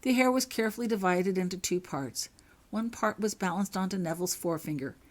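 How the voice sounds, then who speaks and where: A woman narrates fiction from a script, reading her own writing. Her voice sits a little low for a woman as she tells the story.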